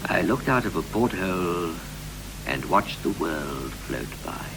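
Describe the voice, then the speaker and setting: Brooding ageing husband, swooping low voice. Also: male voice, lively intonation, a little slow, gravelly, full character voice. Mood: wistful. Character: weary, brooding ageing husband looking back on life. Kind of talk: 1960s poetic radio drama acting. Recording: noisy, hissy 1960s radio recording.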